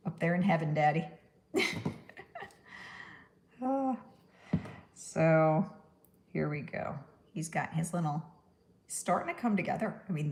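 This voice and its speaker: A woman's voice: deep voice, a little mellow. A woman from New York with a Texan accent, chatting casually through a crafting livestream, rambling and laughing at her own puns. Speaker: stop-motion puppet maker.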